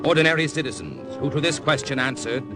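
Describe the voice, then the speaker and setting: A man's voice: medium pitch, animated, fast. A man announcing in a dramatic 1950s radio style. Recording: old radio broadcast with loud music.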